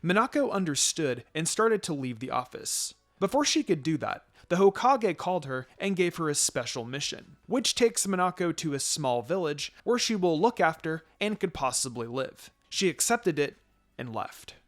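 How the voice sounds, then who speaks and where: A man's voice: fairly high pitch, big pitch swings, moderate pace, a bit bright. A man narrating a written story aloud as a scripted reading. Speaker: fan fiction reader.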